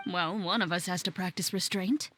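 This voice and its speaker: A woman voicing a composed, reserved, dutiful bodyguard in a video game, courteous and dry, with measured, formal speech. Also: lowish voice, a bit bright, soft background music, natural voice. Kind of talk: video game character acting.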